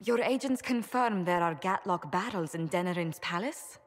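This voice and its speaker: An actress playing a poised court diplomat. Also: female voice, on the low side, moderate pace, natural voice. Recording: game audio, long reverb.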